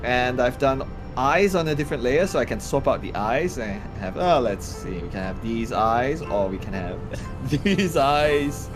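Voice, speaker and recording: A man's voice: mid-range voice, animated, unhurried, few pauses. A middle-aged man chatting casually as a livestream co-host while demonstrating his artwork on screen. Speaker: livestream co-host and game artist. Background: music.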